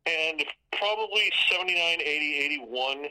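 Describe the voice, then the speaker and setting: A man in his fifties from California, telling stories from his youth in a relaxed conversation, measured and reflective, often restarting his sentences. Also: fairly high pitch, low-quality recording. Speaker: guest. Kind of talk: casual conversation.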